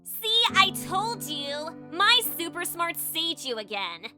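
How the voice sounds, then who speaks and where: A high-voiced, lively devil girl for an anime fan dub. She is childish, boastful and blunt, yet loyal, and she talks quickly with a bright edge. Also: over music, heavily acted. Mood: gleeful. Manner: excitable, loud, blunt.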